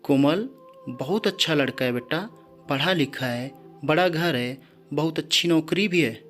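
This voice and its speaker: A man's voice: on the high side, measured pace, a bit bright. A man voicing a domineering middle-aged father in a story, with an insistent manner. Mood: coaxing, insistent. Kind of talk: storybook character dialogue. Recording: background music under the voice.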